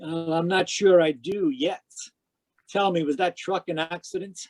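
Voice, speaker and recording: A man's voice: fairly high pitch, measured pace, a little mellow. An actor playing a wry, inquisitive male private detective in a radio drama, telling his story in hard-boiled style. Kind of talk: radio detective drama, first-person narration and dialogue. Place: live remote performance over video call.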